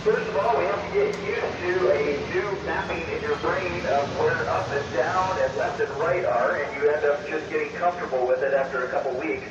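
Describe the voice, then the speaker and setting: Man, fairly high voice, astronaut explaining, upbeat, slightly halting, radio transmission, echoey, heavy noise. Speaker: astronaut answering questions by radio. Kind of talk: question-and-answer over amateur radio. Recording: noisy, echoey, dull amateur radio link, distant.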